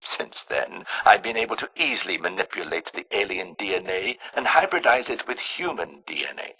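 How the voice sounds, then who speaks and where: Game villain: cold male scientist, lowish raspy voice, clinical, self-important, scripted narration. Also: sharp tone, exaggerated voice, rough recording. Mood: proud. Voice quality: raspy, hard-edged. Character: cold, arrogant male scientist engineering plagues and alien pathogens.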